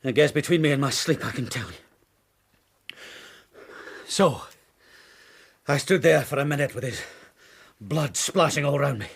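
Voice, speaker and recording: A man acting in a radio drama as a rough, sardonic whaling harpooner, gravelly and husky, with blunt sailor's talk. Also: mid-range voice, animated, unhurried, long pauses, exaggerated voice. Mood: defiant, wry.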